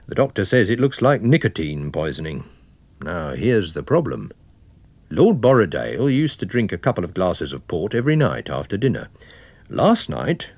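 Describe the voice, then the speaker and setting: Man voicing a businesslike, low-pitched police inspector. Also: darkish tone, no echo, exaggerated voice. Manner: matter-of-fact, explanatory.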